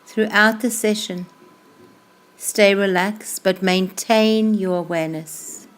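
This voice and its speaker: A woman yoga instructor leading a guided meditation in a slow, calm, soothing way, with unhurried phrases that trail off softly. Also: medium pitch, clear, resonant tone. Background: music playing behind the voice.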